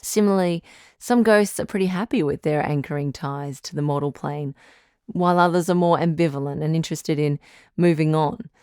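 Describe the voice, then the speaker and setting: Phone-in advice host, played by a woman: patient, reassuring woman doctor, low-pitched, dry studio sound. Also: darkish tone, smooth, no echo, natural voice. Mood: calm, tactful. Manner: measured, tactful, reassuring. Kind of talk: audio drama phone-in advice.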